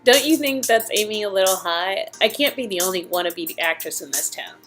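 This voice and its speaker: A woman's voice: mid-range voice, expressive, fairly quick, a bit bright, soft background music, natural voice. A woman playing an earnest, modest young aspiring actress, chatting a little hesitantly with an old friend in comedic dialogue. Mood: sincere, doubtful. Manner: giggly, hesitant, self-effacing. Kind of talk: improvised comedic dialogue performance.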